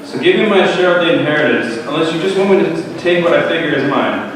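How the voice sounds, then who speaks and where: A man plays a restless, defiant young son with a fairly high voice and a dismissive, sarcastic edge. It is stage drama, heard at a distance in a heavily echoing hall. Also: animated, quick pace, crowd noise, natural voice. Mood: demanding. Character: impatient, insolent, rebellious younger son. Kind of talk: dramatic reading of a parable. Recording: echoey hall, distant mic, faint noise.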